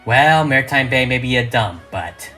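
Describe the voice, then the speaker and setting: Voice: medium pitch, expressive, moderate pace, bright, forceful tone, faint music behind. A narrator voicing a snooty female pony who speaks in a haughty, grudging drawl. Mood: disgusted.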